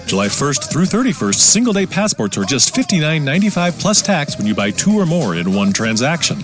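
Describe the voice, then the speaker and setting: A man announcing a scripted radio ad with an energetic, promotional delivery. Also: a little high, a little fast, music in the background.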